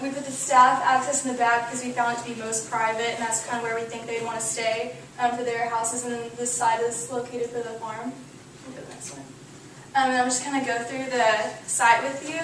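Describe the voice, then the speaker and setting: A young woman from Texas walks a room through her design in a loose, conversational way. Her pitch sits a little high and barely moves. She is heard from a distance in a very echoey room with steady background noise. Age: young adult. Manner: loose and conversational, many fillers. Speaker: student architect presenting. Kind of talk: class presentation. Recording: echoey room from far off, noisy.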